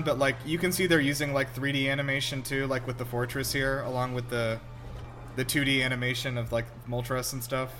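A man's voice: a little high, pushed and bright. A man hosting a casual movie reaction show, chatty, informal and enthusiastic. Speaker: podcast host. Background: film music playing.

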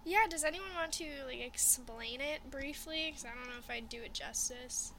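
A woman's voice: high voice, moderate pace, bright, forceful tone, a little hiss or hum. A young woman hosting a casual podcast chat, upbeat and filler-heavy as she steers the group from topic to topic. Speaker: podcast host.

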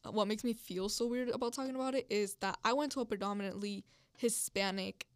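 A woman's voice: mid-range voice, a little fast, no echo. Young female podcast co-host raised in Mexico, Spanish first language; candid, rambling storyteller, close dry mic. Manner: candid, storytelling, lots of fillers. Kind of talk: casual chat.

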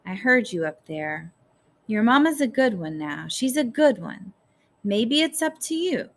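A woman's voice: on the high side, sing-song, unhurried. A woman voicing a kindly, worn shelter resident with missing teeth in an audiobook, folksy and consoling.

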